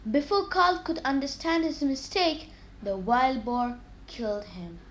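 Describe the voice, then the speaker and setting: Female children's story reader, high-pitched, expressive and deliberate, scripted read-aloud delivery.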